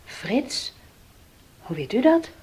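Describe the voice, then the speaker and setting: A woman's voice: fairly high pitch, big pitch swings, slow pace, darkish tone, slightly rough, full character voice. An actress playing a tense, defensive young woman in a 1970s radio play, evasive in manner. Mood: guarded.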